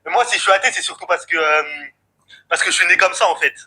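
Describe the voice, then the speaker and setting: A man's voice: high voice, fast, sharp tone, husky. A young man from France calling in, answering hesitantly with short agreeing replies over a distant phone line. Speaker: caller.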